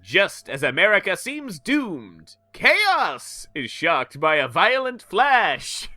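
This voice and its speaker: Casual: guy hamming up a comic reading, bouncy pitch, takes his time. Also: wildly swooping pitch, bright, forceful tone, no room sound. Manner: slow narration broken by laughs and jokes. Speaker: podcast host reading a comic aloud. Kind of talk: comedic dramatic reading.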